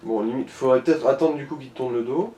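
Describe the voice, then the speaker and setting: A man's voice: mid-range voice, fairly quick, dark tone. A man giving casual guidance during a video game, mixing wordy, mock-formal phrasing with slang. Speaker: co-player guiding the gamer. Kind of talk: casual gaming commentary. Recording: echoey room.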